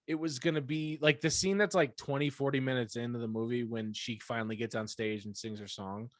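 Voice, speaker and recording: A man's voice: mid-range voice, steady tone, brisk, dry recording, studio quality. A man co-hosting a podcast in casual chat, blunt and profane, laughing often as he gripes. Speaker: podcast co-host; performer, musician.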